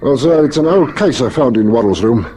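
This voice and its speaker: Methodical police lieutenant. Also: male voice, medium pitch, lively intonation, brisk, mellow, breathy edge. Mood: probing, thoughtful. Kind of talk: vintage radio crime drama acting. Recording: noisy old radio drama recording.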